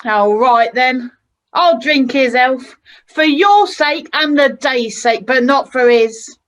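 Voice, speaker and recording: A woman's voice: sits high, slow. A woman playing a tart, outspoken wife and mother in an amateur radio play, forthright and emphatic. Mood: grudging. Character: indignant, outspoken wife and mother, Mrs Cratchit.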